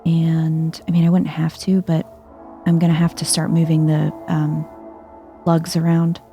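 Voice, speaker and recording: Soft, creaky-voiced, low-key woman gamer. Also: measured pace, lo-fi sound, soft background music. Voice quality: soft-spoken. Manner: calm, chill, thoughtful, musing aloud. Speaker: video game streamer, let's player. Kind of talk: soft-spoken casual let's play commentary, ASMR vibe.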